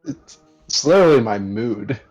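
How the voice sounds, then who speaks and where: A man's voice: medium pitch, sing-song, moderate pace, a little mellow, soft background music, poor audio. A man from Canada giving short, understated answers as a speedrunner in a casual interview. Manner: laconic, understated. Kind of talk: casual post-race interview.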